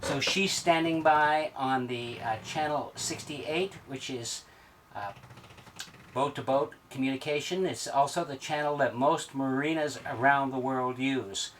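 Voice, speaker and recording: A man in his sixties giving a step-by-step instructional demonstration in a plain, methodical way with hesitant pauses. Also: medium pitch, fairly bright tone. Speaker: sailing instructor. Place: small wood-panelled sailboat cabin at the navigation station. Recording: distant mic, clear background noise.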